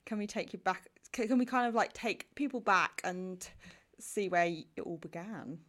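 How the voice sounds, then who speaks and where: A woman's voice: medium pitch, normal speed, a bit bright. A young woman hosting her podcast, bubbly and effusive, often restarting her sentences. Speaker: podcast host. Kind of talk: casual interview chat.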